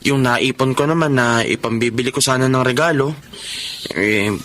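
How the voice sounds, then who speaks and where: A man's voice: mid-range voice, moderate pace, a bit bright, lightly acted. A man playing a devoted, humble young boyfriend, affectionate and reassuring, in a Filipino radio drama. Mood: tender, apologetic. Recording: noisy track with clear background ambience.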